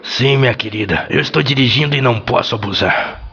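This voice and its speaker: Man acting a gravelly, forceful soap-opera suitor. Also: mid-range voice, a little fast, soft background music. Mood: courteous. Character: earnest, gallant young suitor on a romantic date. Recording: dull lo-fi audio.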